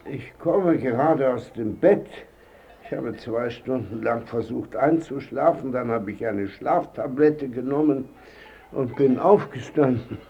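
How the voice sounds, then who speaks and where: An actor playing a cranky, contrary old blind painter, weary and dryly sardonic, in a radio drama. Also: male voice, medium pitch, vocal fry, muffled recording. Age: older. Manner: curt, contrary, dryly sardonic, slow to speak.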